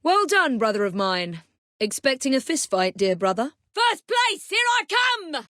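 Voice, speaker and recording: A woman voicing a confident young Victorian English assassin and gang leader in a video game, crisp, commanding and wryly polite. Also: high-pitched, big pitch swings, measured pace, fairly bright tone, no room sound, clean studio sound, full character voice. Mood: urgent shouting.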